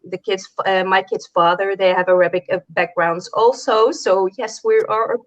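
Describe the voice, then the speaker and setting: A woman's voice: medium pitch, fairly even intonation, moderate pace, dull audio. A woman hosting a casual live interview over a video call, chatty and hesitant with many fillers. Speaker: host. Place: home, early morning.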